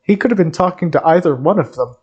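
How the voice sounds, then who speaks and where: A man streaming casual game commentary, excitable and jokey, rambling as he reacts. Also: fairly high pitch, fast, breathy edge, dull audio.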